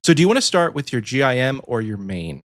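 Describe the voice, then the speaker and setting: A man's voice: mid-range voice, sing-song, fast talker, a bit bright, no room sound, studio quality. Easygoing man in his thirties. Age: 30s. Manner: easygoing, laughs often. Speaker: podcast co-host. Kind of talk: casual chat.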